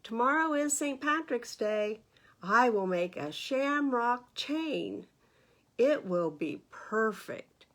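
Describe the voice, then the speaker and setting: A woman voicing an earnest, anxious rabbit from a children's picture book, expressive and exclaiming. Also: a little high, slow pace, voice pushed up, full character voice. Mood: hopeful.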